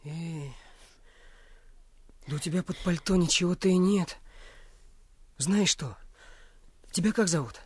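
An actor playing a spirited, insistent teenage boy in a radio play, with a husky voice and brisk colloquial talk. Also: mid-range voice, dry recording. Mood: friendly, insistent.

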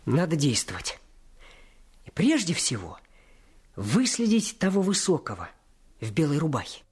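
An actor playing an earnest, determined adolescent boy sleuth in a Russian radio play, with a husky voice. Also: fairly high pitch, huge pitch swings, measured pace. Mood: firm, reasoning.

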